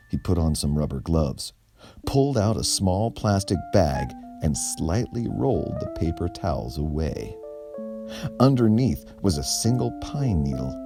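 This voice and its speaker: A man reads a children's mystery aloud in a small bookshop. His voice is lowish and unhurried but very animated. Gentle guitar plays underneath. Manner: slow, expressive read-aloud. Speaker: storyteller. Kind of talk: reading a children's book aloud.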